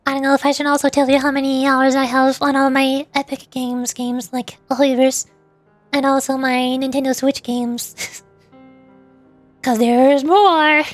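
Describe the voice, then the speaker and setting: High-pitched, chatty woman streamer. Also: completely flat delivery, moderate pace, no echo. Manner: chatty, rambling, playful. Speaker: livestreamer, VTuber host. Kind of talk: casual chat while streaming a game. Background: faint music.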